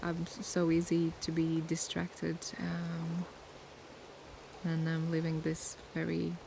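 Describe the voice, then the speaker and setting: A young woman chats softly in a relaxed ASMR Q&A, unhurried and reflective as she searches for words. Her voice stays level and flat, with a gravelly fry running through it. Steady sea noise washes behind her outdoors. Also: low-pitched. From Latvia. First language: Latvian. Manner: soft, unhurried and thoughtful, pausing to search for words. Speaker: ASMR creator. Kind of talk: casual ASMR Q&A chat. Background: sea waves.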